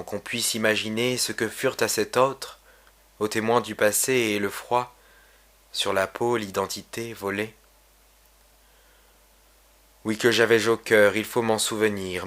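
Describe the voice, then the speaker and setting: Low-voiced man reciting poetry solemnly. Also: a bit bright. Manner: solemn, measured, literary delivery. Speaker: poet reading own poem.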